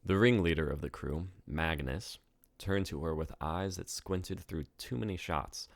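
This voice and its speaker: A man's voice: low voice, measured pace, gravelly, no echo. A man reading a story aloud as its author, in scripted narration.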